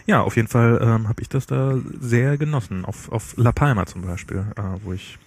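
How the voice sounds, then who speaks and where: A young man chats loosely in a mid-pitched voice, cracking small jokes. He rambles, stops and corrects himself as he goes. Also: moderate pace, slight background noise. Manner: joking, rambling, self-correcting. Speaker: podcast co-host. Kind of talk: casual chat. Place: outdoor terrace.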